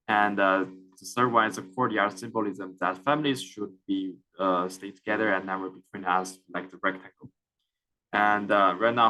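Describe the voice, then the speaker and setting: A young man with a Chinese accent talks through a student class presentation. His voice sits low and sounds bright and forceful. He hesitates often, filling gaps and restarting phrases. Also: low-quality recording. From China. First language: Mandarin Chinese. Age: young adult. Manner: halting, many fillers and restarts.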